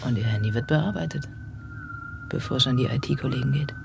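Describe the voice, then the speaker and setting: A man's voice: mid-range voice, fairly quick, gravelly, music in the background. An actor playing a sardonic male police detective in a radio drama, probing with dry irony. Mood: sly insinuation. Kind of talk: German radio drama dialogue.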